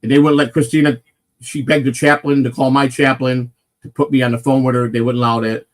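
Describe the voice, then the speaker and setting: A man with a naturally loud, mid-pitched voice tells a long story on a casual morning stream. He rambles, swears freely, and keeps restarting his sentences. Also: little pitch movement, fairly quick. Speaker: morning show host telling a personal story.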